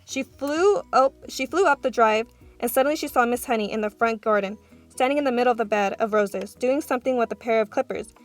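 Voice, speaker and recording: High-pitched woman reading a story aloud. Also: fairly quick, faint music behind. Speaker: read-aloud narrator. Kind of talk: expressive children's book narration.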